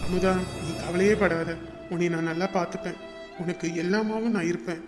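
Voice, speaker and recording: A man voicing a groom who speaks gently to his bride and shouts in anger at others. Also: sits high, fairly even intonation, moderate pace, over loud music, close to an everyday voice. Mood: gentle. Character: hot-tempered man, groom and angry brother.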